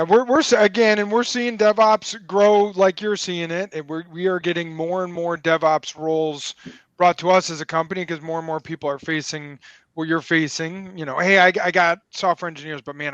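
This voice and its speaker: High-voiced chatty man on a headset. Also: dry recording. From Chicago. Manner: animated, chatty, jokes. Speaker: podcast host. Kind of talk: casual interview chat. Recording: dry, dull headset audio.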